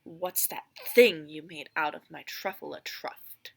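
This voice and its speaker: A short, mossy old male creature from a storybook scolds in a sharp, bossy tone. A woman voices him, with a bright, forceful edge to every word. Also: low-pitched, a little slow, vocal fry, pitched below the performer's own voice, heavily acted. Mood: indignant.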